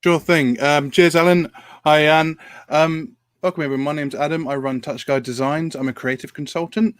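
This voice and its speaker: A man who works as a creative consultant, introducing himself on a live stream panel in a calm, modest, polite way. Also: on the high side, normal speed, clean tone, dry recording.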